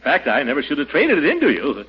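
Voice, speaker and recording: A man's voice: fairly high pitch, huge pitch swings, fast talker, breathy edge, exaggerated voice. A flustered, sneaky middle-aged uncle in a vintage radio comedy. His voice rises and dips widely as he blusters and stammers. The sound is dull and aged. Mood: sheepish. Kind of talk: old-time radio comedy acting.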